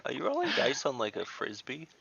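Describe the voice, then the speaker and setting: Gravelly man chatting over a game. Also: medium pitch, wildly swooping pitch, brisk, muffled recording, a crowd in the background. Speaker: player in a tabletop game. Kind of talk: casual game chat. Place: online voice call.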